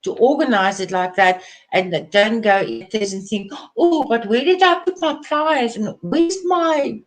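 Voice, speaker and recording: A woman's voice: a little high, unhurried. A woman whose first language is Afrikaans, speaking English with an Afrikaans accent as a crafter in a casual live interview, chatty and laughing often.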